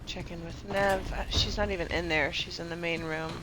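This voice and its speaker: A woman's voice: fairly low pitch, steady tone, moderate pace, background noise. A woman streaming a game with casual live commentary, often repeating her words.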